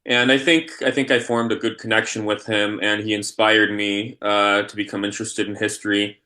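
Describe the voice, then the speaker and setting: Bright, forceful-voiced man answering haltingly. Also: on the low side, little pitch movement. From Polish-born, raised in the United States. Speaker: interview guest, history scholar and university teacher. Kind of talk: conversational interview answers.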